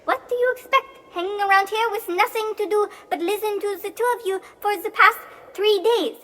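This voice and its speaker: A very high, bright young beggar boy as acted by a performer for an audio play, quick-witted and cheeky. The voice rings in a long, echoing chamber. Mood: indignant, cheeky. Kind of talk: sci-fi audio theatre acting. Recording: long reverberant stone-chamber echo.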